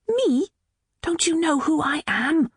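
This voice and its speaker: A woman's voice: sits high, lively intonation, slow, pitched above the performer's own voice. A woman voicing a brazen Irish woman in her twenties for an audiobook, curt and sarcastic. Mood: indignant.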